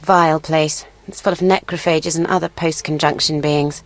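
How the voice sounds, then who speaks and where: An actress playing a proud, serious elven huntress in a video game, speaking formally and gravely. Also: female voice, low-pitched, fairly even intonation, moderate pace. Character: proud, serious elven woman, a wounded monster hunter.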